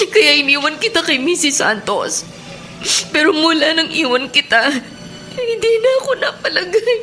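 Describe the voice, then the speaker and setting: Remorseful pinay mom, high swooping voice, halting and emotional, radio melodrama. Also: female voice, bright, forceful tone, exaggerated voice. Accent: Filipino. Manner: hesitant, stammering, emotional. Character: remorseful middle-aged mother who long ago left her son. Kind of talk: melodramatic Filipino radio drama acting.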